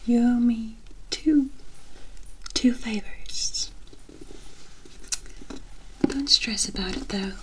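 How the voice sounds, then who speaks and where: A woman voicing a mysterious, wry forest witch in a hushed, deliberate ASMR fantasy roleplay, with cryptic storybook phrasing. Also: on the high side, breathy edge, exaggerated voice, poor audio. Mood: knowing, cautioning. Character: mysterious forest witch, wry, knowing, offering protection for favors.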